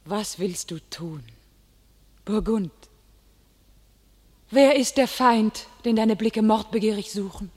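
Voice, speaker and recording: A woman's voice: medium pitch, a little mellow. A woman playing a young female warrior prophet, declaiming solemn verse in a classic radio play, sometimes dropping to a whisper. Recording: radio drama with faint music.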